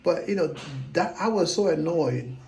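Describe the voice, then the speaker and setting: A man's voice: a little high, expressive, normal speed, vocal fry, a little mellow. A man in his fifties, talking casually to camera as an investing vlogger, blunt, self-assured and rambling. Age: 50s.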